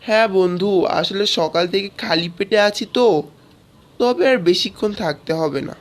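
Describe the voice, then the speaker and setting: A woman's voice: medium pitch, moderate pace, close to an everyday voice. A woman playing a scheming cartoon lion. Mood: greedy. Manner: sneering, gloating. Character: sly hungry male lion, scheming. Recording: voice over loud music.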